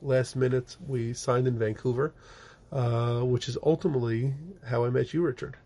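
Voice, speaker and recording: A man's voice: medium pitch, fairly even intonation, moderate pace, mellow. A middle-aged man, born in the United States and raised in Israel, telling his life story as a rabbi guest on an interview show, with many fillers and restarts. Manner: rambling, frequent fillers and restarts. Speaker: guest, rabbi and organization director. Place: office.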